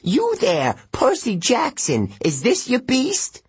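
A man's voice: extremely high pitch, wildly swooping pitch, slow, slightly rough, no echo, voice pushed up, exaggerated voice. A man voicing a fussy, cowardly, very old and fat male satyr, whiny and indignant. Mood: panicky.

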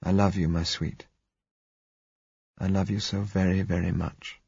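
A man reading an audiobook, voicing a blind young man who speaks softly and reassuringly. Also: deep voice, little pitch movement, unhurried, gravelly, husky, dry recording, lo-fi sound, full character voice. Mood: tender. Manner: soft, reassuring. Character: gentle blind young man, calm and tender.

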